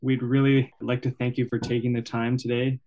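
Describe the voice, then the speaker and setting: A man with a medium-pitched voice speaks as a podcast co-host. He asks prepared interview questions and responds conversationally. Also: flat delivery, fairly quick, warm tone, highs cut off, slight background noise.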